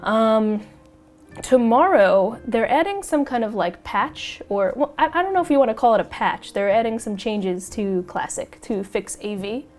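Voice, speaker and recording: A woman hosting a casual podcast chat, a little raspy as she gets over a cold, chatty and excitable and quick to laugh. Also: on the high side, soft background music. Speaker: podcast host.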